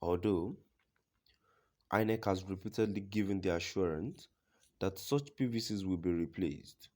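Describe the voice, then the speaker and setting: A man reading scripted news reports in a formal, deliberate manner as a news reader. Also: low-pitched, dark tone.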